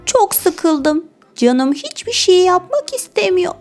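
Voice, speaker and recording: A woman's voice: extremely high pitch, big pitch swings, slow, a little mellow, soft background music, exaggerated voice. A woman voicing a little animal child in a children's cartoon, with a childlike way of talking. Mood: bored, whiny.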